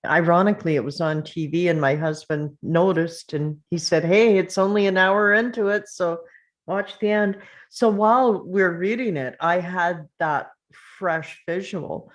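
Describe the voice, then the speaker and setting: A woman's voice: on the low side, a little slow, dull audio. A woman at a table read chatting casually, telling a short anecdote with fillers.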